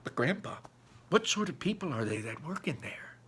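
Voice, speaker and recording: A man's voice: fairly high pitch, big pitch swings, moderate pace, fairly bright tone, slightly rough, heavily acted. A man voicing a curious, eager young boy in a storybook, earnestly and insistently questioning his grandfather. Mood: puzzled.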